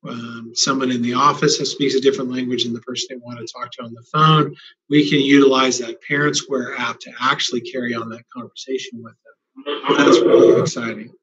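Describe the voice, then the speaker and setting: A school district administrator answering staff questions in a town hall, speaking conversationally with "uh" fillers. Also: male voice, medium pitch, steady tone, brisk, fairly bright tone. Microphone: video call webcam. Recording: dull lo-fi video call audio.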